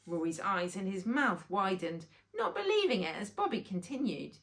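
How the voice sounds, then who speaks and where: A woman narrates a children's storybook. Her reading is expressive, with a mid-range voice and plenty of lively rise and fall. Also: medium pitch, moderate pace. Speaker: children's author reading aloud.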